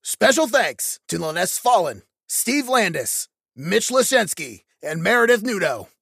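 Creaky-voiced woman reading podcast credits. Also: mid-range voice, sing-song, unhurried, a bit bright, dry recording, clean studio sound. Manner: effusive.

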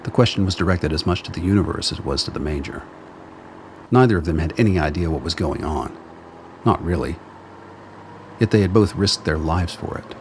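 A man narrating a radio play in a measured, solemn storytelling manner. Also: deep voice, expressive, a little hiss or hum.

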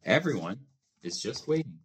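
A man reading a children's book aloud as host, with measured phrasing and expressive emphasis. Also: medium pitch, slight background noise. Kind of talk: storybook read-aloud.

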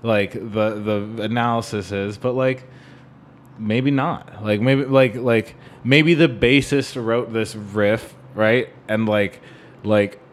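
A man's voice: on the low side, steady tone, slow pace. A man in a casual music discussion, talking in a rambling way and often checking for agreement with "right?". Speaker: podcast co-host.

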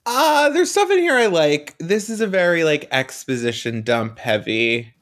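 A man's voice: on the high side, sing-song, a little slow, fairly bright tone. A man talking casually as a podcast panelist, giving analytical, hedged opinions in a conversational way. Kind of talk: casual review chat.

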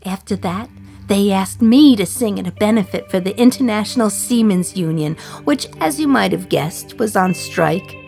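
A woman playing an imprisoned communist young mother in a radio play monologue, wry, confiding and reflective, with dramatic pauses. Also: medium pitch, animated, vocal fry, a little mellow, husky, faint music behind. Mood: fond, reminiscing. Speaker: actor in a solo radio play.

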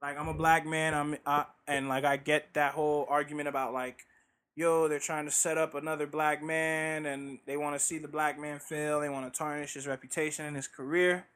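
A man co-hosting a podcast in casual conversation, loud and animated, full of slang. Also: fairly high pitch, normal speed, clear, resonant tone. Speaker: podcast co-host.

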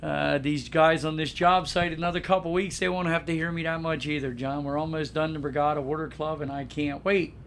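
A man's voice: on the high side, steady tone, brisk, few pauses, a bit bright. A man hosting a casual podcast chat with a scratchy sore throat, blunt and joking, often calling his co-host by name.

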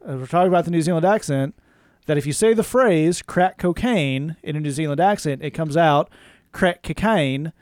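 Higher-voiced man, wry and dry-recorded. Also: fast talker, darkish tone, no echo. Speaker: podcast co-host. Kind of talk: casual comedic chat.

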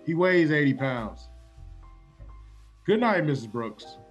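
A man playing an offbeat, polite and unruffled gentleman dog owner in a comedic radio play. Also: on the high side, sing-song, takes it slowly, soft background music.

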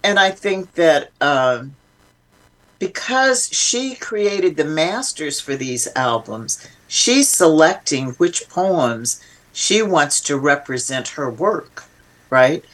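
A woman's voice: sits low, big pitch swings, slow. Older woman, thoughtful and reflective. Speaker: interview guest, filmmaker. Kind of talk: reflective conversational interview.

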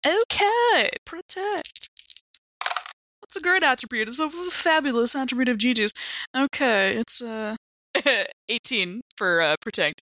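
A woman's voice: fairly high pitch, animated, a little slow, bright, forceful tone, no echo. A woman playing a tabletop role-playing game, playfully reacting and casually improvising for her character. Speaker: tabletop game player on a podcast.

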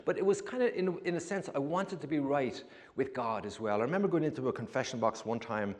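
A middle-pitched Irish man in his fifties tells his story in a rambling, good-humoured way, often restarting his sentences. His voice rings out with a long church reverb. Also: fast talker. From Ireland. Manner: chatty storytelling with humorous asides and frequent restarts. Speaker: guest giving a testimony. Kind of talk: personal testimony told to a congregation. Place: church sanctuary.

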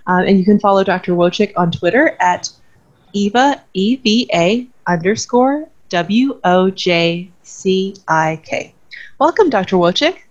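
A woman's voice: mid-range voice, animated, measured pace. A woman hosting a podcast interview, friendly and enthusiastic, reading a scripted introduction. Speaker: podcast host. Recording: dull lo-fi audio with faint music.

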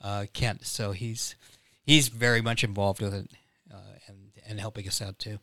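A man speaking as an interview guest, explaining details conversationally in a halting way with asides. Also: on the low side, flat delivery, bright, forceful tone, no echo. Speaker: playwright guest.

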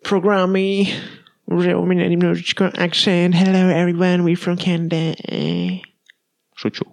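A man's voice: sits high, unhurried, creaky. A young man hosting his own podcast, talking casually and loosely with many fillers and English words mixed in. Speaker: podcast host. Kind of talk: casual solo podcast monologue.

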